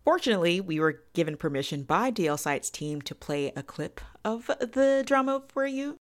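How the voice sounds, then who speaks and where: A woman's voice: lowish voice, very animated, moderate pace. A woman hosting a podcast review in an enthusiastic, emphatic and playful way. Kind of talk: semi-scripted podcast review.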